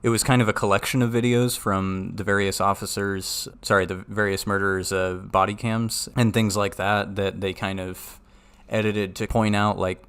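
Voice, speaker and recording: Low, smooth-voiced sarcastic man. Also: a bit bright. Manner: dry and sarcastic. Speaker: podcast co-host. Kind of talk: casual political podcast talk.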